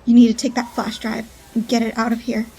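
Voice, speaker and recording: A woman's voice: fairly high pitch, completely flat delivery, fairly quick, creaky, mellow, husky, rough recording. An actress playing a wary, resolute female informant who speaks in an understated, matter-of-fact way in an audio drama. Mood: tense, resigned.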